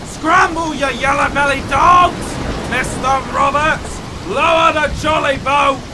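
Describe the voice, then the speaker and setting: Casting: fierce old buccaneer captain, high-pitched bellow, very drawn-out orders, radio swashbuckler, storm noise. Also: male voice, steady tone. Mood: fierce, rousing. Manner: shouting commands in archaic pirate slang. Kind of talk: swashbuckling radio play acting. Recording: loud storm and sea noise behind.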